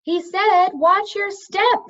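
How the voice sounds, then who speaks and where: A woman's voice: very high-pitched, takes it slowly, fairly bright tone, muffled recording, pitched above the performer's own voice, heavily acted. A woman voicing a blunt, stubborn young princess in a storybook, forthright in manner. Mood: shouting.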